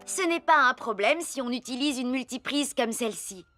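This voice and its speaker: A woman's voice: high voice, animated, normal speed, fairly bright tone, soft background music. A woman voicing a sensible, cautious young girl in a children's cartoon. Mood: instructive.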